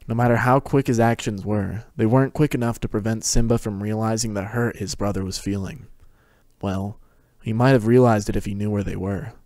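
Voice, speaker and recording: A man's voice: lowish voice, fairly even intonation, fairly quick, darkish tone, dry recording. A man giving a scripted dramatic reading of a fantasy story as narrator. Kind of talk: dramatic reading of fan fiction.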